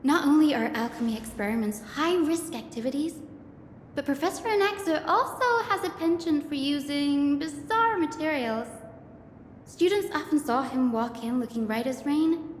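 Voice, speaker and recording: A woman voicing a polite, thoughtful young female scholar in a video game, speaking in a measured, articulate, gently expressive way. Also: high-pitched, a little hiss or hum. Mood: explaining. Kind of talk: scripted video game voice acting, storytelling dialogue. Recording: echoey reverberant voice over game music.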